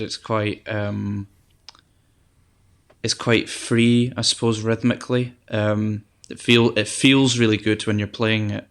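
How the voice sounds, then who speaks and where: A young man with a soft voice, a guest musician chatting casually in an interview, relaxed and hesitant with many ums and restarts. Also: medium pitch, clear, resonant tone.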